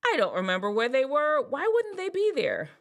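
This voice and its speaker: Lively chatty woman from Jamaica. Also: sits high, brisk. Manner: chatty, storytelling, big swoops up when excited, laughs at herself. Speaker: vlogger. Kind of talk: casual chat to camera.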